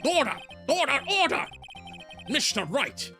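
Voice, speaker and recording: A man's voice: extremely high pitch, very animated, a little slow, vocal fry, over music, pitched above the performer's own voice, exaggerated voice. A man voicing a fussy, flustered older male judge in a video game, calling for order.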